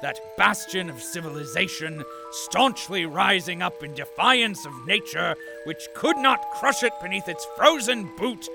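Grandiose mournful chronicler, very gravelly creak, slow oratory, audio drama, music underneath. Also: male voice, high voice, full character voice. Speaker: narrator.

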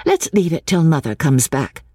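A woman voicing a brisk, decisive young woman acting as hostess, with a husky voice. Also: deep voice, very animated, dark tone, no room sound, studio quality, voice pushed up, exaggerated voice.

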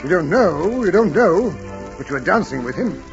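An actor playing a possessive, imperious gentleman suitor in a 1940s radio drama, agitated and clipped in his questioning. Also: high voice, very animated, moderate pace. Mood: indignant, suspicious. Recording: dull 1940s radio recording, music behind.

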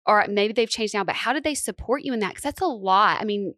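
A woman's voice: mid-range voice, a little fast, fairly bright tone, no room sound, studio quality. A young woman hosting a podcast, asking long, rambling questions with eager enthusiasm. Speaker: podcast host. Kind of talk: casual interview chat.

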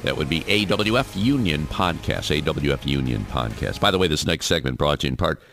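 A man's voice: a bass voice, brisk, soft background music. A man hosting a union radio talk show, reading sponsor plugs and interviewing a guest in an announcer's manner. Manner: lively announcer delivery, reads sponsor plugs.

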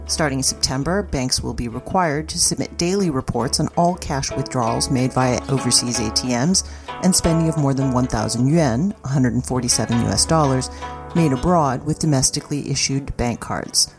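Very low-pitched woman reading news over music. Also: normal speed. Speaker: news reader. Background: music bed.

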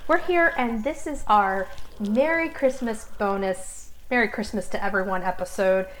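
A woman's voice: medium pitch, normal speed, a little hiss or hum, rough recording. A woman chatting casually as a podcast co-host, playful and given to exclamations. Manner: exclamatory, playful, sings out little jingles. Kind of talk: casual chat.

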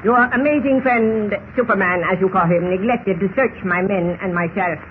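An actor playing a menacing, imperious Egyptian villain who speaks formally and precisely in a 1940s radio style. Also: male voice, sits high, moderate pace, close to an everyday voice. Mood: smug. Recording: muffled, slightly noisy old radio recording.

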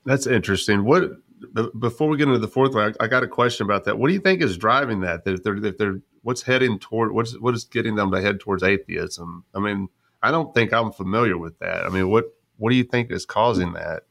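Low-voiced American man, casual podcast host. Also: fairly quick, creaky, fairly bright tone, no room sound. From United States. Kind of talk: casual conversational interview.

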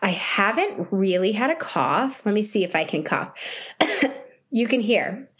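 A woman's voice: mid-range voice, big pitch swings, a little slow, a bit bright, breathy edge. A woman in her thirties, home sick with a sore, scratchy throat, chatting to her viewers in a casual video diary. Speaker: vlogger.

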